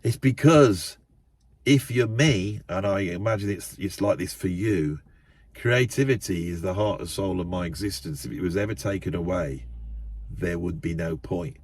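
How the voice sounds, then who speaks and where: A man's voice: sits low, normal speed, breathy edge. Ranting man in a parked car. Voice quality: loud voice. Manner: ranting, emphatic, repeats words. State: congested, blocked-up nose. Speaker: YouTube channel host. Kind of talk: impassioned monologue to camera. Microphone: camera set up in the car.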